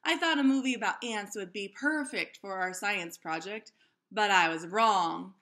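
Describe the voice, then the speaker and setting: A woman's voice: medium pitch, measured pace, pushed and bright. A woman voicing an eager, bossy, dramatic schoolgirl in a storybook, in an emphatic, exclamatory way. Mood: upset.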